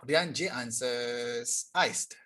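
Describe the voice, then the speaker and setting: A young man teaching an English grammar lesson live online, explaining casually and reading sentences aloud slowly. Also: medium pitch, bright, forceful tone. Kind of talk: live online tutorial reading chat answers.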